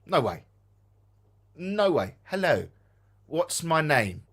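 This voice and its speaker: A man's voice: on the high side, takes it slowly, slightly rough. Emphatic Essex man on a live stream. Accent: Essex English. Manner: animated, emphatic, repeats himself for effect. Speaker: co-host of a ghost hunting live stream. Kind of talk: casual live-stream chat.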